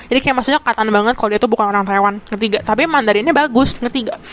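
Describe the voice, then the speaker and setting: A woman's voice: fairly high pitch, very fast, a little hiss or hum. A young woman in her twenties from Jakarta with a Jakarta accent, hosting a casual solo podcast. She chats in slang, fills her talk with asides and fillers, and drops in English words. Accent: Jakarta Indonesian. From Jakarta, Indonesia. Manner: chatty slang-heavy, mixes in English words. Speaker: podcast host.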